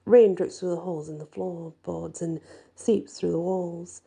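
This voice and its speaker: A woman narrating a first-person fantasy story in an expressive, deliberate storytelling style. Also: lowish voice, creaky, slightly rough. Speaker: story reader.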